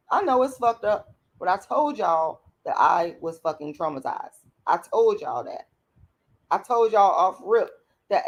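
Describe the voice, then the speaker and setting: A hoarse woman, hosting a late-night livestream and talking bluntly and emphatically to her viewers. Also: medium pitch. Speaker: channel host.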